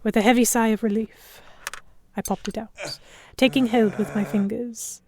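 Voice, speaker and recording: A woman narrating in first person as a composed captive in a historical pirate audio drama, with measured, dramatic storytelling. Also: fairly high pitch, fairly even intonation, noisy background, close to an everyday voice. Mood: measured, tense. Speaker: narrator and lead actor.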